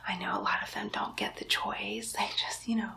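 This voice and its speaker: A woman's voice: mid-range voice, fairly even intonation, normal speed, lightly acted. A woman playing a chatty, cheeky demon nurse in a whispered ASMR roleplay, coaxing and playfully condescending. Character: chatty, cheeky female demon nurse greeting souls in hell. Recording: faint background noise, close whispered recording.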